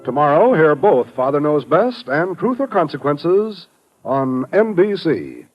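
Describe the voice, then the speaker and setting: Vintage American network announcer, man, lively swooping delivery, scripted promos and credits, dull old radio sound. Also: fairly high pitch, a little slow, music in the background. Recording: muffled old radio broadcast recording.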